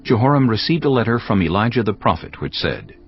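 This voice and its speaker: A man narrating scripture in a measured, solemn, scripted reading for an audio Bible. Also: on the low side, big pitch swings. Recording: dull lo-fi audio with faint music.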